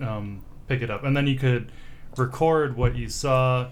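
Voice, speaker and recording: A man's voice: mid-range voice, unhurried, slight background noise. A man hosting a casual podcast, asking rambling questions full of fillers. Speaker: podcast host. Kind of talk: casual interview chat.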